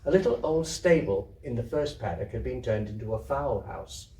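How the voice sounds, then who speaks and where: A man's voice: mid-range voice, expressive, moderate pace, darkish tone. A man reading a literary story aloud, with dramatic, descriptive delivery. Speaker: story reader, theatre troupe member. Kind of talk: expressive literary narration to an audience. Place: indoor community venue. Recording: echoey room, distant microphone.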